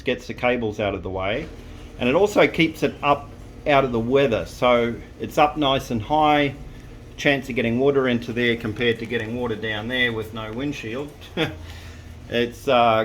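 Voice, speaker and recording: A man's voice: medium pitch, slight background noise. A man talking casually to camera about fitting an intercom into his vehicle, plain-spoken and rambling with frequent restarts. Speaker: vehicle owner showing an intercom install.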